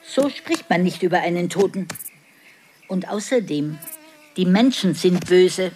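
A woman playing a sentimental, superstitious village neighbour in a radio drama, speaking in a plaintive, drawn-out way. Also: fairly low pitch, lively intonation, darkish tone, slight background noise. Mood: mournful.

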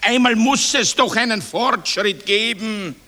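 A man's voice: sits high, a little slow, pushed and bright, long reverb tail, exaggerated voice. An actor playing a hot-tempered, scornful socialist father with a Viennese accent, declaiming in old radio drama style. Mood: indignant, preaching. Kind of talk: 1960s German-language radio drama acting.

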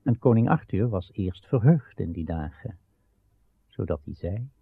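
An actor playing a solemn storyteller in a radio drama, narrating an ancient legend in measured, portentous phrases. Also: male voice, lowish voice, expressive, vocal fry. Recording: narrowband old radio recording.

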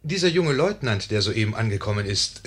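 An actor playing a wry, ironic narrator who addresses the audience deliberately in a 1950s German radio play. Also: male voice, lowish voice, big pitch swings, a bit bright, slightly rough, full character voice.

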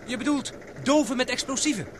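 A man acting in a radio drama as a guarded, gruffly loyal adventurer with blunt, clipped replies. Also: very high-pitched, fairly quick, noisy background. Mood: probing, uneasy.

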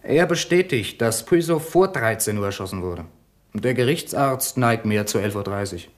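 An actor playing a deferential, chatty police assistant in a 1970s radio crime drama, matter-of-fact and good-humoured. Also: male voice, lowish voice, animated, normal speed, natural voice. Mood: businesslike.

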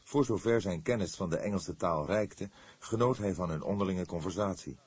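A man reads a novel aloud as scripted narration. His voice sits low and is extremely husky and grainy. He delivers it as an audiobook narrator. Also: moderate pace, darkish tone, no echo.

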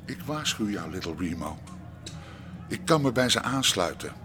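An actor playing a boastful, messianic convict who preaches grandly and talks about himself by name in a radio play. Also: male voice, on the low side, big pitch swings, normal speed, bright, forceful tone, soft background music. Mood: grandiose. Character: burn-scarred, boastful, messianic male prisoner with fascist leanings. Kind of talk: Dutch radio play acting. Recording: noisy radio drama recording.

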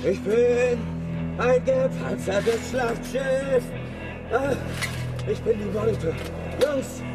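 Brüllender, trotziger verwundeter Bandit. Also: male voice, extremely high pitch, slow pace, loud music behind the voice, low-quality recording. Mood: frenzied defiance. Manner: bellowing, exclamatory. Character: wounded, defiant armoured outlaw, raving and roaring. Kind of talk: radio drama acting.

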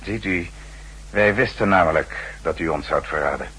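Menacing butler-spy for a radio play: very low, measured, deferential, on a faintly humming old recording. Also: male voice, pushed and bright, a little hiss or hum, rough recording. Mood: polite, menacing. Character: formal male manservant, polite and quietly menacing secret agent. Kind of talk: 1960s Dutch radio drama acting.

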